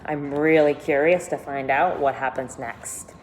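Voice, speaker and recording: A woman teacher reading a children's novel aloud expressively and pausing to think aloud for her listeners. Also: low-pitched, fairly even intonation, slight background noise, low-quality recording. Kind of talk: read-aloud storytelling with commentary.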